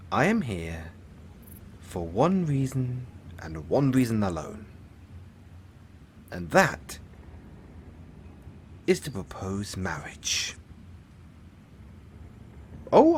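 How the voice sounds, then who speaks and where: Man performing a pompous lovestruck villain, audio roleplay, deep-leaning voice, very slow and drawn-out, grandiloquent, vain. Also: a little mellow, slight background noise, full character voice. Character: flamboyant, smug male villain, lovestruck and vain. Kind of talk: audio roleplay acting addressed to the listener.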